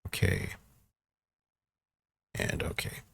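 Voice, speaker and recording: A man giving a casual software walkthrough, thinking aloud informally as he works through each step. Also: extremely slow. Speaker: tutorial presenter.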